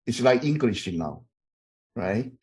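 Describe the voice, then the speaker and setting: A man's voice: mid-range voice, very animated, slow pace, a little mellow, slightly rough. A middle-aged man from Taiwan, teaching a university class over a video call with many fillers and repeated okays. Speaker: university instructor. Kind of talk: online class, informal chatting.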